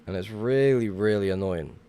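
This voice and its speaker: A man's voice: fairly low pitch, normal speed, warm tone, clear, resonant tone. Casual swearing young man streaming a game. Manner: rambling, swears casually, greets viewers. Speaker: livestream gamer. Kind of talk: casual live-stream chat while gaming.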